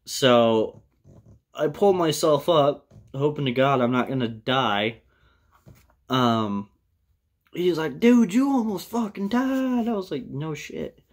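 A young American man tells a personal story casually to camera, in a loose, halting way with plenty of swearing. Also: fairly high pitch, animated. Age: young adult. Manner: loose, profane, halting delivery. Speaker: vlogger telling a personal story. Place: small indoor room with bare wall.

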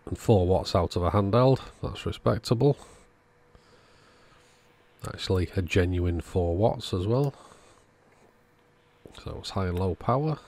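A man calmly narrating a radio repair at his workbench in a matter-of-fact way. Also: sits low, unhurried, long pauses. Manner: relaxed, matter-of-fact commentary while working. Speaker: electronics repair channel host. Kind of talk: narrated bench repair tutorial. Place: workbench indoors.

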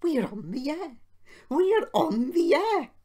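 A woman voicing an eager, excitable young male steam engine in a children's storybook, exclaiming and bubbling over. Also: high voice, drawn-out words, voice pushed up, heavily acted. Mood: ecstatic.

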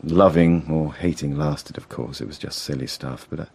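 Deep, husky, diffident English brother. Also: male voice, fairly even intonation, normal speed, darkish tone, full character voice. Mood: wistful. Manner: hesitant, mild, stammering. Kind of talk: radio drama acting.

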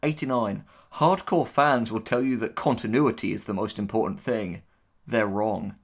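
A man's voice: lowish voice, moderate pace. A man co-hosting casual commentary, reading posts aloud and responding with sardonic, dismissive, sweary remarks. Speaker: co-host and writer reacting to writing tips.

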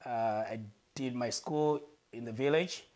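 A Malawian man, a guest on a lapel mic in a living room, earnestly telling his life story and pausing to search for words. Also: medium pitch, a little mellow.

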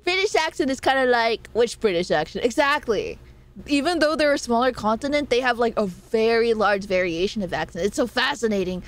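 A young American woman chats with her live viewers in an animated, playful way, laughing often as she reads chat aloud. Her voice sits a little above the usual pitch for a woman. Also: a little fast. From United States. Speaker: streamer.

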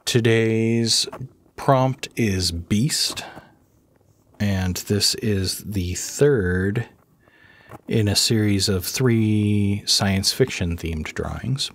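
A man with a somewhat low, husky, raspy voice talks through his drawing at an unhurried, dragging pace. He is under the weather and low on energy, rambling softly and chuckling now and then as he explains his process. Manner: slow, rambling, soft-spoken with chuckles. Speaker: art channel host. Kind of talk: relaxed drawing voice-over.

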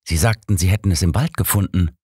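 A man voicing a lively, outspoken younger woman in an audiobook, with an animated, friendly manner. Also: deep voice, fast talker, husky, no room sound, studio quality. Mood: earnest, concerned. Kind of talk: audiobook character voice.

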